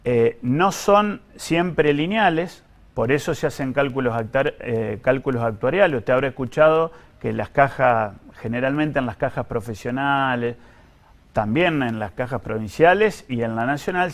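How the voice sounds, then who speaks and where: A man with an Argentine accent speaking as an official in an interview, explaining things in a careful, measured, technical way. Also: medium pitch. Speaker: government pension official being interviewed.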